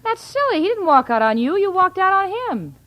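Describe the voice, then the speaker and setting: A woman's voice: extremely high pitch, sing-song, fairly quick, lo-fi sound. An actress playing a sensible, motherly young woman in an old radio sitcom, coaxing and emphatic. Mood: exasperated, urgent. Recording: noisy old radio recording with audience.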